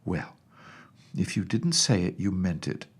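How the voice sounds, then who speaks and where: A man's voice: deep voice, very animated, a little slow, slightly rough, full character voice. A man voicing a stern, skeptical woman, a children's nurse, scolding and dismissive in storybook dialogue. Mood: exasperated.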